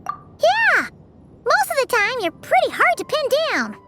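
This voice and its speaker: A little floating fairy girl in a video game. The voice is extremely high, squeaky and childlike, swinging up and down with lively, chirpy eagerness. She sounds loyal and chatty, and music plays clearly behind her. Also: unhurried, full character voice. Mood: cheerful. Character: small childlike floating fairy companion, female, cheerful and loyal. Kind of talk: video game cutscene acting.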